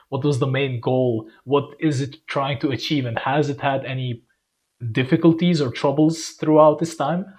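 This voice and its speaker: A man's voice: mid-range voice, a little mellow. Young man as podcast host; casual, loose, self-correcting delivery. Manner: friendly, rambling, self-correcting.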